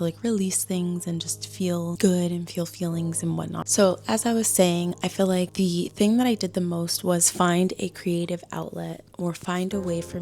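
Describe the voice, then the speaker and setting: A woman's voice: fairly low pitch, vocal fry, darkish tone. A woman in her thirties chatting candidly to camera in a casual vlog, full of "like" fillers. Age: 30s. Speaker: vlogger. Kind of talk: casual talking-head chat. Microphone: small handheld camera.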